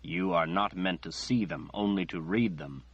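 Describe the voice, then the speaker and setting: A man's voice: sits low, normal speed, fairly bright tone, slightly rough, no echo, full character voice. A man voicing an older male prophet, solemn, sad and unbending. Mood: stern. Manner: grave and solemn. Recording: dull lo-fi recording.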